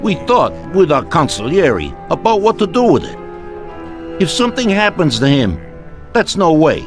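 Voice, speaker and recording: An actor playing an indignant American mobster in a video game, protesting in emphatic everyday speech. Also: male voice, on the high side, wildly swooping pitch, normal speed, over music. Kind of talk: video game cutscene dialogue.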